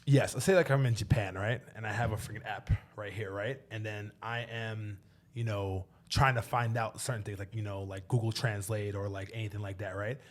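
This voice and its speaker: A man's voice: fairly low pitch, fairly even intonation, fairly quick. A man in his thirties hosting a podcast, talking loosely with lots of "like" and some mild swearing. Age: 30s. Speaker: podcast host. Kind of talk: casual podcast interview.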